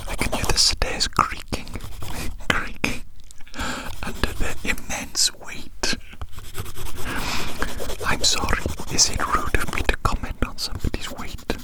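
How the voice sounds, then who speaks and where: A woman whispering a rambling ASMR roleplay very close to the microphone, softly repeating her phrases in a breathy, unvoiced voice. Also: slow, rough recording. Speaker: ASMR performer.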